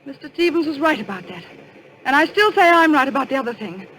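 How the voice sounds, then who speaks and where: An actress playing a suspicious, high-strung young woman in a radio mystery, with a dramatic, accusing manner. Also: very animated, fast talker, fairly bright tone, rough recording. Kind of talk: old-time radio mystery acting.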